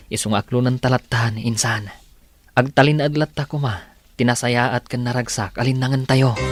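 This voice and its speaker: A man playing a supportive, cheerful young husband in a radio drama, with an Ilocano accent and an expressive, reassuring manner. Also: medium pitch, close to an everyday voice. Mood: earnest. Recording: music bed behind the voice.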